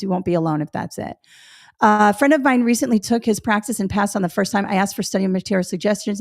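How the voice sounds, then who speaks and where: A woman running a test-prep webinar, explaining reading instruction in a conversational, encouraging way with frequent fillers and check-ins. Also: mid-range voice. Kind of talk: live webinar teaching and reading chat questions.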